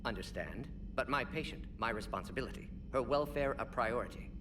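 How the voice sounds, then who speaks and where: A rapid-fire alien scientist played by a man with a mid-range voice, precise and fussy, dropping words into clipped phrases. It is a video game voice, a little distant, with clear background noise. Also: mid-range voice, close to an everyday voice. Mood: defensive, resolute. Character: brisk, fussy alien salarian scientist doctor, male. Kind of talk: video game character acting. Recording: noisy game ambience, distant voice.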